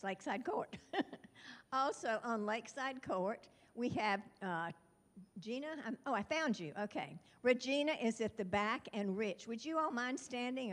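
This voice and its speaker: An older woman introducing new residents at a meeting, laughing often and losing her place. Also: on the high side. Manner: warm, giggly, scattered, self-deprecating. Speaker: retiring neighborhood representative. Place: chapel hall.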